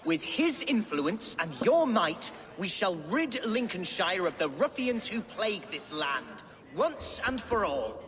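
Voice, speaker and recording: High-pitched, pompous young nobleman. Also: big pitch swings, takes it slowly, fairly bright tone, long reverb tail, heavily acted. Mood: pompous, cheerful. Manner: pompous, florid, theatrical. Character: foppish, excitable, self-important young Anglo-Saxon nobleman. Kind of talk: video game voice acting.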